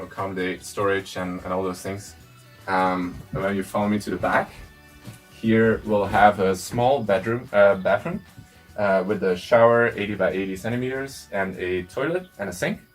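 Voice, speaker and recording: A man from Belgium, giving a relaxed walkthrough tour of a build site and pausing often with fillers. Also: on the low side. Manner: relaxed, explanatory, many filler pauses. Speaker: tiny house builder.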